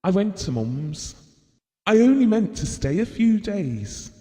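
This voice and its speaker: A man's voice: high-pitched, sing-song, measured pace, warm tone. An actor playing a guilt-ridden, exasperated husband in an audio drama, confessing his regrets in a strained manner. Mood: troubled, confessional. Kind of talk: audio drama monologue and dialogue. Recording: reverberant hall-like room sound.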